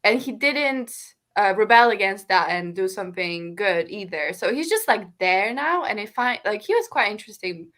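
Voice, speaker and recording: A woman's voice: medium pitch, lively intonation, normal speed, hardly any pauses, a bit bright. A woman co-hosting a casual book discussion over a video call, rambling with lots of "like" fillers. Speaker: book podcast co-host.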